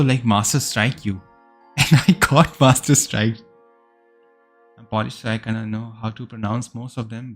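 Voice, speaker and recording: A man casually commenting while streaming a game, chatting with viewers and thinking out loud. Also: medium pitch. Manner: chatty, answers chat, thinks aloud with fillers.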